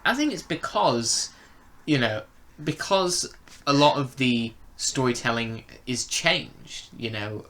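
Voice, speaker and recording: A man in a casual review chat as a co-host, speaking in halting phrases and often repeating words. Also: mid-range voice, lively intonation, a bit bright. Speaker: podcast co-host reviewing audio dramas.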